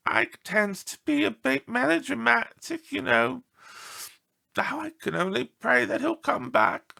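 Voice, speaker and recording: A man's voice: expressive, unhurried, gravelly, sharp tone, exaggerated voice. A man voicing an upset female dog owner in a storybook, earnest, fretful and fondly exasperated. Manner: raised pitch, earnest and fretful. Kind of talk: storybook read-aloud character.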